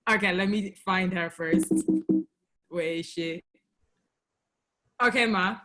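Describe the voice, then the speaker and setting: A woman from Tanzania, a native Swahili speaker, hosting a casual online group chat in a halting, conversational way. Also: mid-range voice, pushed and bright, soft background music. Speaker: host of a virtual cultural session. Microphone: video call.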